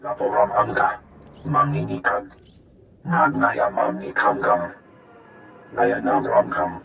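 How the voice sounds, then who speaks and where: A man voicing a gruff alien who speaks a guttural invented tongue in a video game, with a gravelly voice. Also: mid-range voice, animated, slow, bright, forceful tone, slight background noise, heavily acted.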